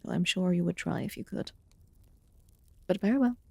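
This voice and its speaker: A woman voicing a calm, mysterious immortal witch in a soft-spoken ASMR fantasy roleplay, with formal, archaic phrasing and a breathy voice that often slips into whispers. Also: on the low side, normal speed, no room sound. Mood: coaxing, curious. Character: calm, mysterious immortal witch tending a wounded human guest.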